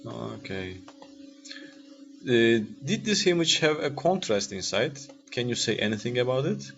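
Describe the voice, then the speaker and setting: A man's voice: medium pitch, very animated, unhurried, vocal fry, a bit bright. A man with a Turkish accent giving an informal, interactive lecture in English as a second language, with hesitant phrasing and frequent checking questions. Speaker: radiology lecturer. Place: online video-call class. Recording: dull lo-fi online call, faint noise.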